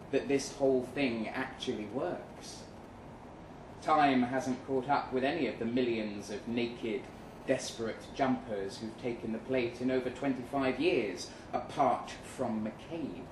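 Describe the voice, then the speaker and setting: A man performing a live short-story reading as a weary, jaded agent, speaking deliberately with dramatic pauses. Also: medium pitch. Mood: wry, matter-of-fact. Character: weary, jaded male agent narrating his own story. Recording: roomy live venue, some noise.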